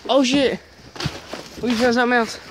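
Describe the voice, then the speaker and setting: A man's voice: very high-pitched, steady tone, normal speed. A young man casually exploring abandoned buildings, talking loosely and swearing a lot, recorded on a phone he carries while walking outdoors. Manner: loose, profane, jumpy. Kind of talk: casual handheld exploration chat. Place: outdoors in woods and ruined sheds. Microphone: phone camera carried while walking. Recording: loud noisy outdoor phone audio. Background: rain and loud handling noise.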